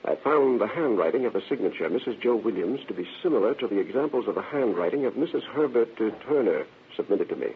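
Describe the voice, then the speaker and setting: An actor playing a confident American criminologist with a husky voice, reporting formally and pedantically in an old radio drama. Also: male voice, fairly low pitch, lively intonation, fast talker, hardly any pauses, a little hiss or hum. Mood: precise, assured. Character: precise, confident criminologist reporting forensic findings. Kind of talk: old-time radio crime drama acting. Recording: tinny vintage radio recording.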